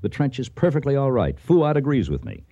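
A man's voice: medium pitch, very animated, brisk, highs cut off. A man playing a kindly, trusting older archaeologist and father in a radio drama, articulate and reassuring. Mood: amused, reassuring. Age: middle-aged or older. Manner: reassuring, gently dismissive, articulate. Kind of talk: radio drama acting with first-person narration.

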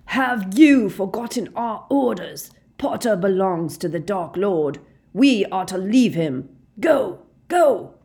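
A woman voicing a sneering, commanding male wizard villain, shouting contemptuous taunts in a dramatic audiobook style. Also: low voice, moderate pace, gravelly, few pauses. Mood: furious.

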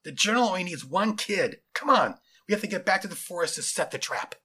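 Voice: medium pitch, lively intonation, moderate pace, bright, forceful tone, breathy edge, voice pushed up. A storybook narrator voicing a tall, impatient, obedient soldier henchman with a brusque manner. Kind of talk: dramatized storybook character voice.

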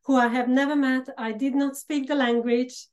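A middle-aged woman from Slovakia with a Hungarian-Slovak accent, a podcast guest telling her life story earnestly with frequent pauses. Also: high voice, fairly even intonation, a little mellow. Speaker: podcast guest, empowerment coach. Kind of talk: personal storytelling interview.

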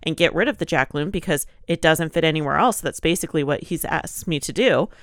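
A woman's voice: low voice, fairly quick, dry recording, studio quality. A woman co-hosting a podcast, chatting casually and reading posts aloud, slightly nasal and congested from allergies. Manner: informal, chatty. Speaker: podcast co-host.

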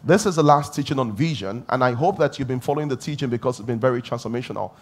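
A man's voice: mid-range voice, expressive, quick pace. A middle-aged male pastor preaching a conversational sermon, repeating key phrases and rising in emphatic bursts.

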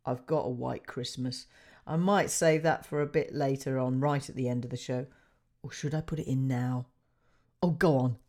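A radio host with a low, husky voice, made even rougher by a winter cold. She chats loosely and warmly with listeners, laughing often and wandering through her thoughts with plenty of ums and uhs. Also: normal speed. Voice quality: deep husky voice. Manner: chatty, laughing, with many fillers. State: hoarse from a cold. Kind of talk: casual radio presenting.

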